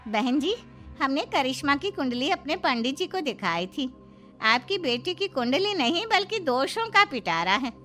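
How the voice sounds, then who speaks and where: A woman's voice: sits high, very animated, moderate pace, sharp tone, faint music behind, voice pushed up, full character voice. A woman voicing a blunt, haughty, superstitious middle-aged mother of a groom in a cartoon story. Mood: disapproving.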